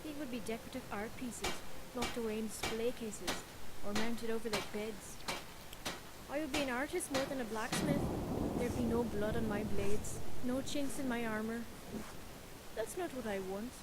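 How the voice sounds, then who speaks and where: A woman's voice: a little high, moderate pace, natural voice. A woman voicing a proud young blacksmith heir. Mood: passionate. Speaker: blacksmith NPC. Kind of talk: game voice acting. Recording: game audio under noisy commentary.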